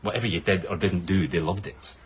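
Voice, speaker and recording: An actor playing a brash, excitable, crass male TV producer in a radio comedy-drama, with an emphatic, jokey manner. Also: sits low, brisk, a little hiss or hum, lightly acted. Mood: boastful, jokey. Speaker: TV show producer.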